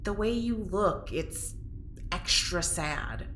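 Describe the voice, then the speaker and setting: A woman's voice: lowish voice, expressive, slow, fairly bright tone. A woman playing a blunt, sarcastic, casual young woman in an audio drama. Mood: blunt teasing. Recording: echoey room, faint noise.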